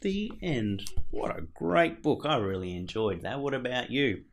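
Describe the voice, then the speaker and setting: Slow, expressive male storyteller. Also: medium pitch, gravelly. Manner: theatrical, dramatic pauses. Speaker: storyteller, show host. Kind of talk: expressive children's picture-book reading.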